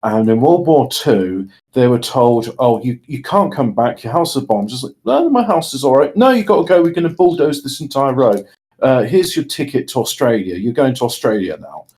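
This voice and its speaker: A man with a mid-pitched voice talks casually as a podcast co-host. He argues his points conversationally and slips into imagined quotes to illustrate them. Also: big pitch swings, normal speed, darkish tone.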